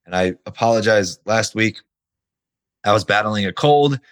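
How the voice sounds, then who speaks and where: A man, hoarse and nearly losing his voice, hosting a casual solo podcast and stressing his points with the odd swear. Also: fairly low pitch, normal speed, a bit bright. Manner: casual, emphatic, swears now and then. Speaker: podcast host.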